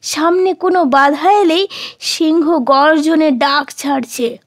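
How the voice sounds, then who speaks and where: A woman storyteller giving an expressive scripted narration of a children's story. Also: high-pitched, slow.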